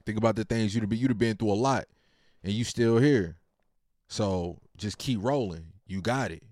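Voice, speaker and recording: A laid-back man nearing thirty talks through his thoughts in a loose, meandering way, full of slang and swearing. His voice sits a little low. The recording is dry and close, with no room sound. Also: normal speed, a little mellow, dry recording. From Virginia. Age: 20s. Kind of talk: casual personal monologue.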